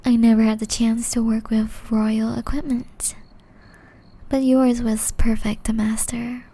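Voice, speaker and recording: A woman acts out a gentle, ageless witch exiled to the forest, caring and wistful. Her voice sits fairly high yet stays soft, dark-toned and breathy, often slipping into a whisper. She takes her time, pleading tenderly in an intimate ASMR roleplay style. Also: level tone. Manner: slow, tender, earnest pleading.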